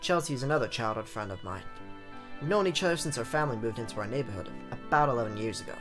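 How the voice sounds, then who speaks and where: A man with a mid-range voice plays host of a let's play. He reads visual novel text aloud theatrically and drops in playful asides, with soft game music behind him. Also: mid-range voice, expressive, fast.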